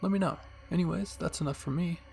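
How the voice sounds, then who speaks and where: A man reading a spooky fan-fiction story in soft, hushed ASMR narration. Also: a little high, fast, gravelly, slight background noise. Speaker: storyteller, channel host.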